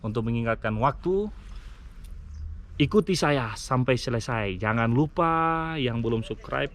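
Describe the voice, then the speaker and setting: A man's voice: medium pitch, expressive, measured pace, a bit bright, slight background noise. A man presenting a vehicle walkaround vlog, explaining things enthusiastically and speaking directly to his viewers. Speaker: vlogger, mine vehicle driver. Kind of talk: vlog truck walkaround and review.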